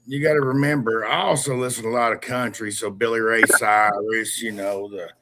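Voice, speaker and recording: A man's voice: on the low side, steady tone, brisk, fairly bright tone, slight background noise. A man in his late forties chatting casually with friends, swearing freely and talking with enthusiasm. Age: 40s. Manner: gravelly, swearing, enthusiastic about country music. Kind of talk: casual chat.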